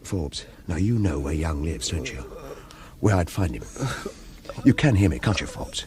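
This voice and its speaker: An actor playing a bitter, driven male investigator in a radio drama, terse and insistent, with halting repeats. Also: low voice, animated, heavy background noise. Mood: low, urgent.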